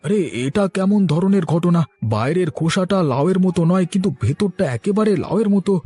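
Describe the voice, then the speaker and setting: A man's voice: sits high, animated, normal speed, mellow, husky. A man voicing a blustery, easily startled village farmer in a cartoon, exclamatory and grumbling. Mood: astonished. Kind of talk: children's cartoon voice acting. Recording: music behind the voice. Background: music.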